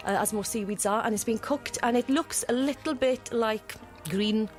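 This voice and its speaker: Friendly Welsh woman with a high voice. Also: normal speed, faint music behind. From Wales. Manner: friendly, laughs easily, explains things. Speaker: cookery school owner and cookbook author. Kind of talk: radio interview guest. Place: radio studio.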